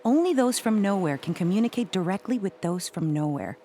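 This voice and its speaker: An actress playing a cryptic, brusque, matter-of-fact spirit from nowhere in an audio play. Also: female voice, medium pitch, expressive, a little fast, mellow, soft background music, lightly acted.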